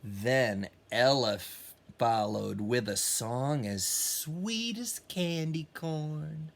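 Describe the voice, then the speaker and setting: A man's voice: medium pitch, extremely slow, creaky. A man who teaches music, reading a children's storybook aloud expressively with casual, encouraging asides.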